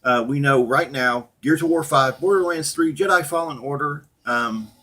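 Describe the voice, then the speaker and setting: A middle-aged man with a husky voice, talking casually and off the cuff as a blunt, profane, opinionated gaming channel host. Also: on the high side, normal speed, pushed and bright. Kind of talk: casual unscripted rant and commentary to viewers.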